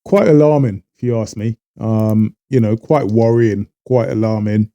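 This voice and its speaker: A man from Trinidad, talking earnestly to camera and halting often with fillers as a vlogger. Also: fairly low pitch, dark tone, clear, resonant tone, lo-fi sound. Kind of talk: casual talk to camera.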